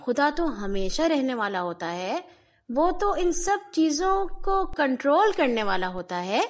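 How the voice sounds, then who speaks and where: A woman storyteller plays a pondering young seeker in a children's tale. The voice is high and lingers slowly over the words. It is earnest and emphatic, filled with wonder. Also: big pitch swings, full character voice. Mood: earnest pleading.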